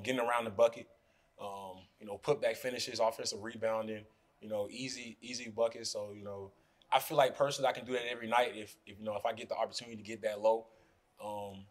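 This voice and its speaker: A young man in his twenties, a basketball player answering questions at a postgame press conference, speaking loosely with many "you know"s into podium mics. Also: on the low side. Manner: relaxed, fills with "you know" often. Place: press room in front of a sponsor backdrop. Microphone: podium mics in front of him.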